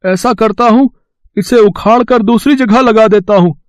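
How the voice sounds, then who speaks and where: A man's voice: high-pitched, measured pace, no echo. A man playing an earnest, plain-spoken village farmer in a Hindi cartoon story. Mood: practical. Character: earnest village husband and farm worker.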